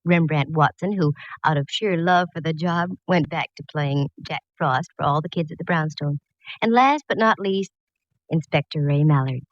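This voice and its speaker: A woman's voice: low voice, moderate pace, no room sound, lightly acted. An actress playing a plucky, wry female private eye, narrating in the style of a 1940s radio drama. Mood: wry, playful. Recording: dull, dry vintage radio recording.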